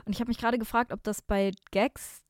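A young woman co-hosting a podcast, introducing a guest and chatting in a relaxed, slangy way, thinking out loud. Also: a little high, fairly quick, dry recording, studio quality. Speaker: podcast co-host. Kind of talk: casual chat, introducing guest.

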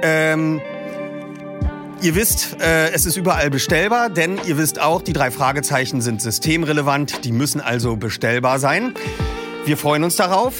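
A man hosting a podcast, wrapping up and making announcements in a relaxed, chummy way. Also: a little high, normal speed. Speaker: podcast host. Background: music.

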